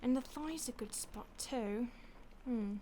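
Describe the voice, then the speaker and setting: Soft-whispering female vampire played by a woman for an audio roleplay, mock-polite and savoring, slightly high voice. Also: slow, slight background noise. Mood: menacing relish. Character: polite, predatory female vampire toying with a captive.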